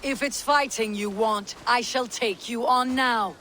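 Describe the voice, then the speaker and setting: An actress playing a stoic, proud Norse warrior woman in a video game, speaking in a terse, firm, measured way. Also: fairly high pitch, sharp tone, slight background noise. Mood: challenging.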